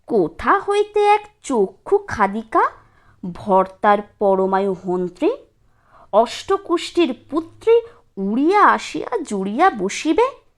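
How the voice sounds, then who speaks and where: A woman's voice: high voice, voice pushed up, full character voice. A woman voicing a scheming, indignant wife and doting mother, scolding in a declamatory, sarcastic way in a storybook reading.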